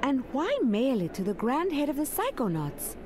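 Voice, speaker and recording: A brisk, concerned female agent. Her voice is high and expressive, with clear ambience behind it. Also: background noise. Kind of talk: animated video game acting.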